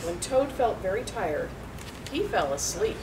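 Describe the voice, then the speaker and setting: A woman reading a children's story aloud in an expressive, deliberate storytelling manner. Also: mid-range voice. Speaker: school staff member reading a story. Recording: distant mic, loud background noise.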